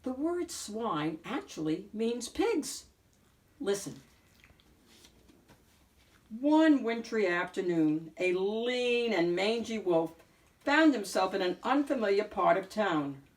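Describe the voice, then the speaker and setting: A woman narrating a children's picture book in an expressive read-aloud style, with deliberate, dramatic emphasis. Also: medium pitch, recorded from a distance. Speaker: storyteller, picture book reader.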